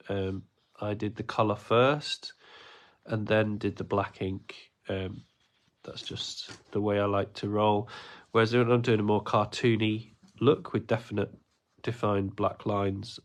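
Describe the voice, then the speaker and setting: A man, stuffy from a cold, chatting his way through a live drawing lesson with plenty of ums and uhs. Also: lowish voice. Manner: rambling, many ums and uhs. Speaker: art teacher running a livestream class. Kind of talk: casual livestream drawing lesson.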